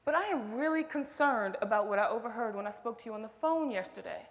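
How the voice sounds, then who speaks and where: A woman's voice: on the high side, expressive, quick pace, close to an everyday voice. A woman on stage playing an outspoken, protective coworker and best friend, blunt and insistent in her questioning. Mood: concerned, suspicious. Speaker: stage actor. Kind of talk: stage play acting. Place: indoor theater stage. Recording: echoey stage hall with long reverb.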